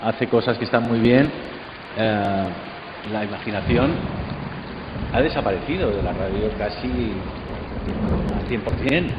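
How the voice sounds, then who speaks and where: A man's voice: lowish voice, takes it slowly, pauses often. A man with an accent from Spain giving his opinion in an unscripted debate, emphatic and repeating key phrases. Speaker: participant in a congress debate. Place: large hall at a radio drama congress. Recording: echoey hall, dull sound, clear background noise.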